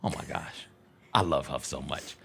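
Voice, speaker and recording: A man's voice: extremely low pitch, moderate pace, fairly bright tone, slight background noise. An American man co-hosting a casual sports talk show in a studio, speaking emphatically and repeating phrases for emphasis. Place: radio-style studio.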